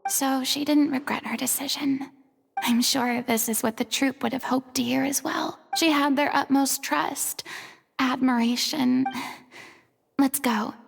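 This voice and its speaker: High-voiced, theatrical proud young stage star. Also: female voice, measured pace, vocal fry, long reverb tail, exaggerated voice. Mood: grieving. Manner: theatrical, expressive. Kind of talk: video game voice acting.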